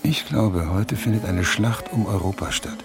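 A man's voice: lowish voice, moderate pace, lightly acted. A man playing an intense, insistent investigative journalist who is hungry for an exclusive, in a radio drama. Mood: urgent warning. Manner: urgent, insistent, emphatic explaining. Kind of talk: German radio drama dialogue. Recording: music behind the dialogue.

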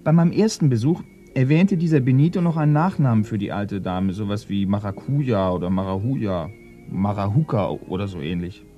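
An actor playing an earnest, pedantic police assistant in a radio crime play, precise and deadpan as he lists facts. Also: lowish voice, a little fast, lo-fi sound, soft background music, close to an everyday voice. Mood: methodical.

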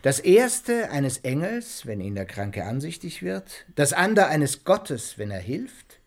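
A witty, polite adventurer in a classic radio drama. He has a slightly high voice with lively intonation and phrases everything in a courtly, rhetorical way. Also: slow pace, vocal fry, exaggerated voice. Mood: sly, teasing. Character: polite, witty lute-playing young adventurer.

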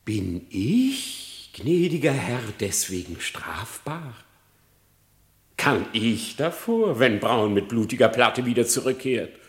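An actor playing a sly, flattering fox who feigns piety and innocence, in a theatrical, wheedling verse radio play. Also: male voice, mid-range voice, takes it slowly. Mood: self-justifying. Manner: theatrical, wheedling, mock-pious.

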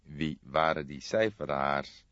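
A man voicing a calm, probing old male police detective with a deliberate, insistent manner in an audiobook. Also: rumbling low, dry recording, muffled recording, pitched below the performer's own voice, full character voice. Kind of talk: audiobook character dialogue.

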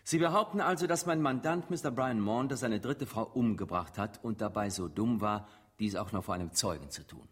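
A man's voice: lowish voice, fairly quick, hardly any pauses, fairly bright tone, slightly rough. An actor in a radio drama playing a sceptical, insistent male defence lawyer with a formal, pointed way of speaking. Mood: sceptical, probing. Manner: pointed, incredulous courtroom questioning. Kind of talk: German radio drama acting.

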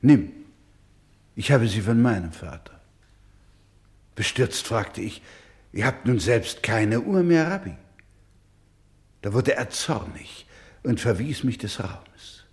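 A man acting a solemn, proud storyteller in a radio play, speaking gravely and deliberately, with emphatic swells and hushed drops. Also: medium pitch, expressive. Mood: earnest. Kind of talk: slow, dramatic radio-play narration.

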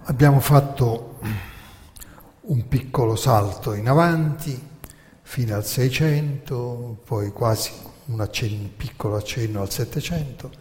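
A man's voice: medium pitch, a little mellow. Emphatic man lecturing in a reverberant hall. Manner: emphatic, with dramatic pauses. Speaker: narrator of a staged history lecture. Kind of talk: theatrical lecture.

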